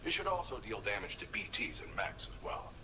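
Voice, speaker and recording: A male video game contact who briefs the player on gear in a low, measured voice. He lays things out calmly and explains step by step. Clear background noise sits under the game audio. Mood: explanatory. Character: male game character briefing over a radio link. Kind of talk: scripted video game dialogue.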